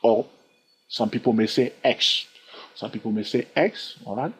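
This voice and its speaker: A man teaching a chart lesson on trading, constantly checking in with the listener with quick questions. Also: mid-range voice, a little hiss or hum. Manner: animated, keeps asking the listener "yes or no?" and "okay?". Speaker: trading instructor. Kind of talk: screen-recorded chart lesson. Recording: dull lo-fi recording.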